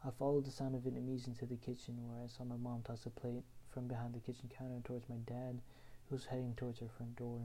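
A young man from Houston, Texas, reading his own written personal story aloud in an earnest, measured way. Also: medium pitch, monotone, mellow, poor audio. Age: young adult.

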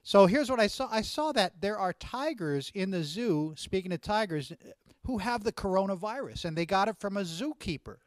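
Loud, excitable man hosting a video call. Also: high voice, moderate pace, no echo. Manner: loud, excitable, stumbling restarts. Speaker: host reading fan questions. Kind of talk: casual video-call chat.

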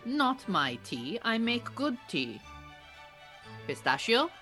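An actress playing an Iranian refugee woman who keeps bees, a dry, earnest, patient mentor with measured phrasing in an audio drama. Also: a little high, sing-song, a bit bright, faint music behind, exaggerated voice. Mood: playful, hospitable. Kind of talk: naturalistic audio drama dialogue.

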